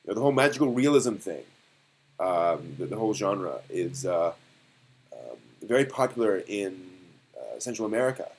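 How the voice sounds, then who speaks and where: A man hosting a casual live stream discussion, thinking aloud in a halting way and posing rhetorical questions. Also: lowish voice, big pitch swings, slight background noise.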